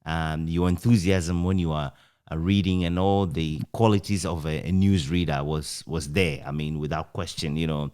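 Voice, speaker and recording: Deep-voiced Ghanaian man, reflective. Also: fairly even intonation, normal speed, darkish tone, clear, resonant tone. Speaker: interviewer, author reminiscing with former colleague. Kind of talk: conversational, reminiscing interview.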